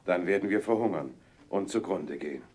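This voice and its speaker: Tiefen, schwermütigen Holzfäller-Vater. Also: male voice, deep voice, expressive, a little fast, darkish tone, husky. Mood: despairing. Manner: heavy, plaintive rhymed lines. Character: sorrowful, soft-hearted poor woodcutter father. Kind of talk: children's fairy-tale audio play.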